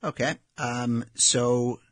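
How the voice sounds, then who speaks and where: A Northern Irish man in his thirties, a restaurant owner answering interview questions in a relaxed, matter-of-fact way with frequent ums. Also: mid-range voice, very slow, no room sound. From Northern Ireland.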